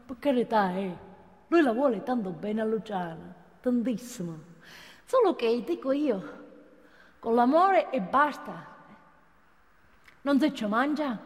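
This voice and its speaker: An actress playing a fond, plain-spoken gossip, telling a story haltingly in a theatrical monologue. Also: female voice, high voice. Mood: fondly resigned. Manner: halting, folksy, with frequent tag questions. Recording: hall reverb, faint music behind.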